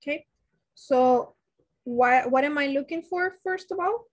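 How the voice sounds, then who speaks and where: Nursing teacher lady on webcam, kinda high pitched, thinks out loud and asks the class stuff. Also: a little slow. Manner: thinks aloud with many restarts, asks class questions. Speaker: nursing instructor. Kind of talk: live online math lecture. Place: indoors, webcam beside shared slides.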